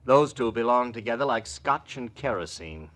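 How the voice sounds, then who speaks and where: An actor playing an idle, jaded society drinker in a 1940s radio drama, affected, languid and dryly witty. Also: male voice, mid-range voice, lively intonation, normal speed, fairly bright tone, close to an everyday voice. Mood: wry, resigned. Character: idle, jaded, martini-drinking society gentleman, lovelorn hanger-on.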